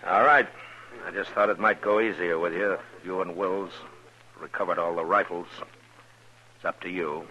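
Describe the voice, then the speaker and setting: A man's voice: low-pitched, steady tone, normal speed, bright, forceful tone. An actor playing a stern, commanding Old West lawman with an American accent and a curt manner in a 1950s radio western. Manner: curt, authoritative, giving orders. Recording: dull, noisy old radio recording.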